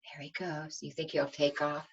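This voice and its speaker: A woman reading a storybook aloud to young children as their teacher, expressive and simply phrased, pausing to ask them questions. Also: sits low, creaky, breathy edge, highs cut off.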